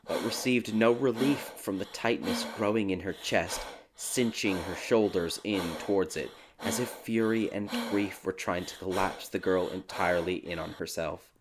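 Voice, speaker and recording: A man narrating a dark fairy tale audiobook in a dramatic, scripted storytelling style. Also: sits low, normal speed, few pauses, background noise. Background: background music.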